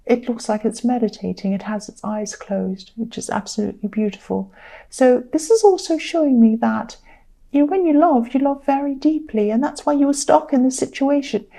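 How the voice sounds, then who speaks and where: A tired woman with a slightly croaky voice, giving a conversational tarot reading to viewers in an earnest, gently advising way, repeating phrases for emphasis. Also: fairly high pitch, moderate pace. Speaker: tarot reader.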